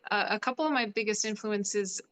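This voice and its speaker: Female interview guest, originally from Poland, relaxed and filler-heavy, mid pitch. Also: brisk. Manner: chatty, many "like" fillers. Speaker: guest, classical singer. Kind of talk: casual interview chat.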